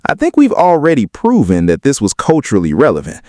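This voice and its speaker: A man's voice: medium pitch, huge pitch swings, a little fast, warm tone, no room sound, clean studio sound. A man giving opinionated video commentary in an emphatic, argumentative way. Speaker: video commentator on hip-hop.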